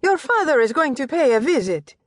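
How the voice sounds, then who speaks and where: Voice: sits high, big pitch swings, fairly quick, no room sound, pitched above the performer's own voice. A narrator voicing a doting royal mistress who coaxes her son with sweet Italian endearments, in a dry, close sound. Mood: coaxing. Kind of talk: audiobook character voice.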